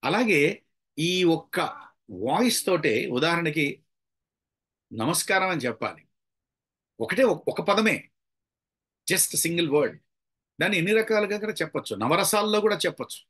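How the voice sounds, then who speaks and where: A man's voice: fairly high pitch, sing-song, normal speed, long pauses, a bit bright, slightly rough. A middle-aged man with a Telugu accent giving an informal public speaking lecture, conversational, asking rhetorical questions and dropping in English phrases. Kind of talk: informal lecture with acted examples.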